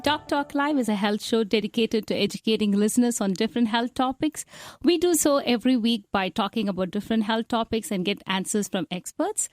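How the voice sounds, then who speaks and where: A woman physician hosts a health radio show and reads her opening from a script. She then puts measured questions to her guest at an even, mid-range pitch. Her boom mic gives a close, dry sound. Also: no room sound. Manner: scripted intro, asks careful questions. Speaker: host, physician. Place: radio studio. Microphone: studio boom mic.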